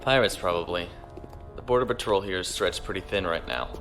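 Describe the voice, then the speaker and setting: A man's voice: sits low, fairly quick, a bit bright, faint music behind. A young man playing an earnest, deferential navy lieutenant who gets flustered and stammers. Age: young adult.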